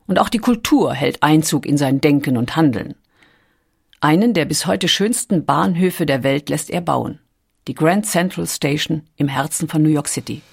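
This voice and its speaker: A woman's voice: sits low, animated, moderate pace, creaky, husky. A woman reading scripted documentary narration in a composed, carefully articulated storytelling manner.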